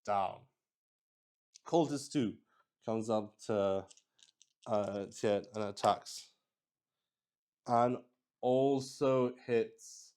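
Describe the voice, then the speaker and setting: Male solo roleplayer, smooth voice, unhurried and halting, works out the game aloud as he narrates. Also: on the low side, darkish tone. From Luxembourg. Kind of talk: narrating a solo tabletop game while playing.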